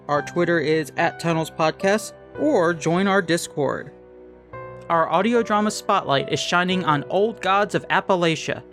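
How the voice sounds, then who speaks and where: A male announcer delivers scripted show credits and promos. His voice sits slightly high, with guitar music playing softly behind him. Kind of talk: scripted narration. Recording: music under the voice.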